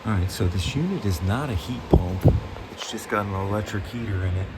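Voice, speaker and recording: A man's voice: lowish voice, a little fast, heavy background noise. Stuffed-up man rambling through a work vlog. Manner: rambling, thinking aloud. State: stuffed up, under the weather. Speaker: HVAC technician.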